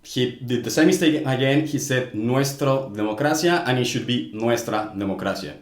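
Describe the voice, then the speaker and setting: A man's voice: mid-range voice, moderate pace, roomy sound. A man from Mexico whose first language is Spanish, speaking English with a Mexican accent and explaining grammar earnestly in a casual commentary, with frequent small restarts.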